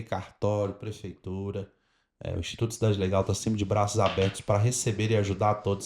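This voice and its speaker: A man's voice: lowish voice, a little mellow. A man with a Brazilian Portuguese accent hosting a podcast, speaking earnestly and formally as he addresses and urges on the audience. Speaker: podcast host.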